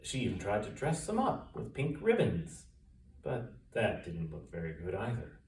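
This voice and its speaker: Male storybook reader, very lively delivery, distant mic with room echo. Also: lowish voice, normal speed. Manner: expressive, dramatic emphasis and sound-effect words. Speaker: author reading own story aloud. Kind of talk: children's storybook read-aloud. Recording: roomy echo, distant mic, faint noise.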